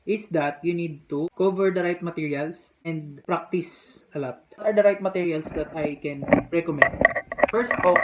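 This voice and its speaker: A native Filipino speaker with a Filipino accent, casually explaining practical test tips to the camera. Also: mid-range voice. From Philippines. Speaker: vlogger giving test tips. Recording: clear background noise.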